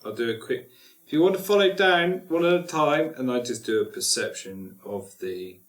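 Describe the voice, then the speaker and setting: Man, tabletop player, animated intonation, casual, jokey, roomy echo. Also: mid-range voice, normal speed. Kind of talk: casual chat during a tabletop game. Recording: roomy, echoey group recording.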